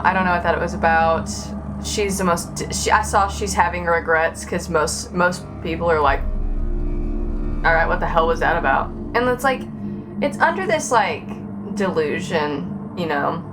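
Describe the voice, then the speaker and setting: A woman's voice: on the low side, unhurried. A woman livestreaming a game, chatting casually and rambling in a sarcastic, sweary, griping way. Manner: sarcastic, profane, animated griping. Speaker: live game streamer. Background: game music.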